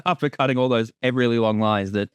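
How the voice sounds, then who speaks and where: A man's voice: medium pitch, a little fast, clean tone, dry recording. A man giving a casual, jokey creator's commentary with informal language and some swearing.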